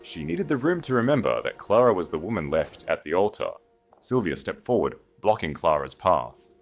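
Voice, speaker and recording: Deep-voiced male story narrator. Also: big pitch swings, normal speed, gravelly. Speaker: audiobook narrator. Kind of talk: scripted dramatic story narration. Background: faint music.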